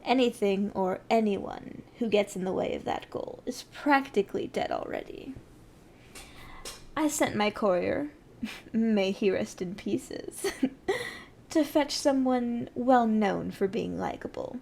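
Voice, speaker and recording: A woman's voice: medium pitch, expressive, slow, creaky, mellow, slight background noise. A woman playing a haughty, ruthless evil sorceress queen in an ASMR roleplay, speaking in an imperious, menacing, condescending way. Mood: scornful, scheming. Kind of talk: scripted ASMR roleplay addressed to the listener.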